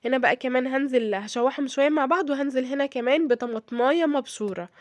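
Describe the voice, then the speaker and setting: Hoarse, fast-talking Egyptian woman cook. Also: sits high, dry recording. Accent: Egyptian Arabic. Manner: chatty, addresses viewers directly, frequent fillers and check-ins. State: hoarse from a cold. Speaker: cooking vlogger. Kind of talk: casual cooking tutorial.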